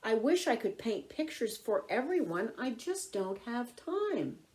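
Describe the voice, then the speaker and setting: A woman voicing a friendly, eager young cat boy from a picture book in a bright, earnest way. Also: fairly high pitch, very animated, measured pace.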